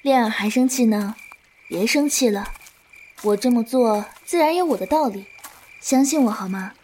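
A woman playing a calm, principled, protective elder-sister swordswoman in a martial-arts audio drama. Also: on the high side, moderate pace, a little hiss or hum, lightly acted. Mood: coaxing. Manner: composed, coaxing, righteous lecturing.

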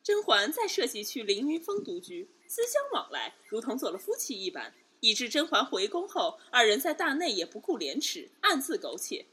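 Woman as a scornful, high-swooping palace concubine. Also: big pitch swings, normal speed, few pauses, bright, forceful tone, breathy edge, exaggerated voice. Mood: scornful accusation. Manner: scornful, emphatic. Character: spiteful, accusing young imperial concubine. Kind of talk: Chinese period audio drama acting.

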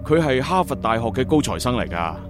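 An actor playing an earnest, slightly bumbling young agent in a radio drama, with a Hong Kong Cantonese accent. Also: male voice, medium pitch, very animated, a little fast, close to an everyday voice. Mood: matter-of-fact. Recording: music bed under the dialogue.

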